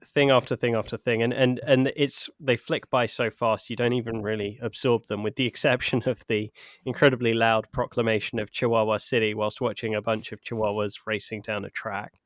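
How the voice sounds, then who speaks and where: A man co-hosting a podcast, talking about a film in a measured, thoughtful way. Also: medium pitch, little pitch movement, no echo, clean studio sound. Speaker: podcast co-host. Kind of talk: casual film discussion.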